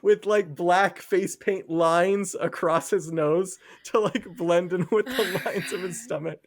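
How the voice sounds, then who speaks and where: A man's voice: high-pitched, slow pace. A young man co-hosting a podcast in casual chat, rambling and laughing at his own ideas. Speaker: podcast co-host.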